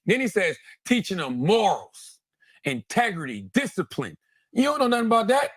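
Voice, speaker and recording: A man with a fairly high voice talks as an animated host in casual commentary. He leans on repeated phrases for emphasis and swears freely. The sound is close and dry. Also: moderate pace, dry recording. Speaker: host breaking down rap lyrics line by line.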